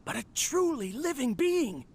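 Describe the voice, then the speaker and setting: A man's voice: extremely high pitch, big pitch swings, measured pace, husky, heavily acted. A man voicing an eccentric, obsessive inventor in a video game, theatrical and grandiose yet tender. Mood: fervent. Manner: theatrical, proclaiming, talks fondly to his creation. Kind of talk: video game character voice acting.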